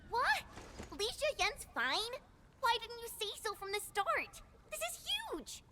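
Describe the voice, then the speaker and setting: An actress playing an impatient, fiery young woman in a video game, blunt and expressive. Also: extremely high pitch, slow pace, noisy background, exaggerated voice. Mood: excited, impatient. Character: impatient, fiery young woman, fiercely concerned for her comrade.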